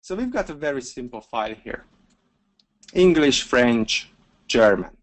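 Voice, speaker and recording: Hungarian man, software webinar demo, relaxed, plain mid pitch, fillers. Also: measured pace. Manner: relaxed step-by-step explaining with fillers. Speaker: software trainer.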